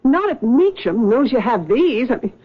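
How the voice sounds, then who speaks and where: A woman's voice: fairly high pitch, very animated, moderate pace, slightly rough. A woman playing a frightened, pleading wife in a 1970s radio drama. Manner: anxious, pleading. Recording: dull lo-fi old radio broadcast.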